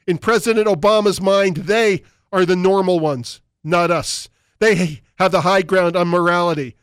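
A man's voice: sits high, dry recording, studio quality. A man hosting a talk radio show, delivering opinionated commentary and reading listener emails aloud in an emphatic way. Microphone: studio microphone.

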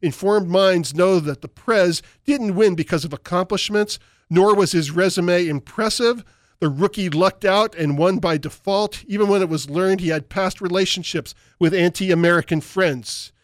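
A man's voice: sits high, normal speed, no room sound, clean studio sound. Emphatic man hosting talk radio. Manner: emphatic, opinionated delivery. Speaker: radio talk show host. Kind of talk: talk radio commentary and reading listener emails. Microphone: studio microphone.

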